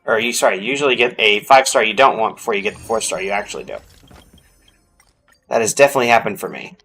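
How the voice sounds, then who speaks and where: A man's voice: fairly low pitch, quick pace, sharp tone, soft background music. A man giving casual gameplay commentary as a streamer, thinking aloud as he goes. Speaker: game streamer.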